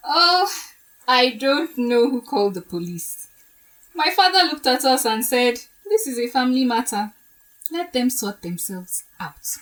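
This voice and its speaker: An actress plays a bride-to-be gossiping with friends in an audio drama. She has a fairly high voice and takes her time, chattily dotting the story with interjections. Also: very animated, slow, pauses often, fairly bright tone, exaggerated voice. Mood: amused gossip.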